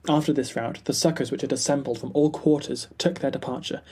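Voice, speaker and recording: A young man giving a formal, deliberate dramatic reading of a historical war narrative. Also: mid-range voice, steady tone, darkish tone, husky. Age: young adult. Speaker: student reader.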